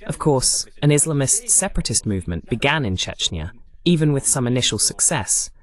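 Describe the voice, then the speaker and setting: A man's voice: medium pitch, big pitch swings, a little fast. Male political host, very varied intonation, argumentative and emphatic in live commentary. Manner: argumentative, rhetorical questions, emphatic repetition.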